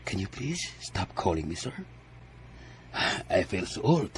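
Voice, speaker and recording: An actor playing a smooth, pushy, wealthy married man courting someone in a radio drama, coaxing in a Filipino accent. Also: deep voice, very animated, slow pace, darkish tone, slight background noise, full character voice. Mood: flirting. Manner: coaxing, switches between English and Tagalog.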